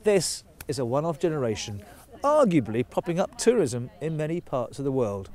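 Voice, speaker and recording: A man narrating a report in a deliberate, expressive way. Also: fairly high pitch. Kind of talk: scripted narration.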